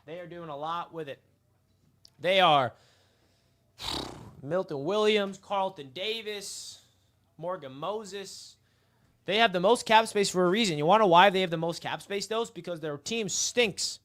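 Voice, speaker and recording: A man's voice: sits high, measured pace, pauses often, fairly bright tone. A man hosting a casual live sports stream, talking straight to his chat in a hyped, chatty way. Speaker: livestream host. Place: outdoors in the sun.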